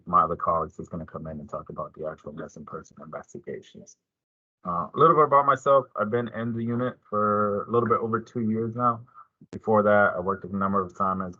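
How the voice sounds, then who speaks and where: A man's voice: low voice, sharp tone, husky, dry recording, highs cut off. A man giving an informational presentation as a police detective, explaining things in a plain, informal way.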